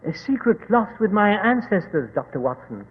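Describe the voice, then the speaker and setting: An actor playing a dignified, guarded Egyptologist dignitary, formal and grave in a 1940s radio mystery style. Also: male voice, high voice, very animated, moderate pace, breathy edge, exaggerated voice. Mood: ominous, solemn. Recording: echoey, muffled old radio recording.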